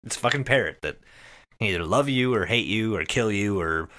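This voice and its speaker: Animated man podcasting, bright voice. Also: lowish voice, a little fast. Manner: animated, sweary, conversational. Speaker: podcast host. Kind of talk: casual comedic explainer with friends.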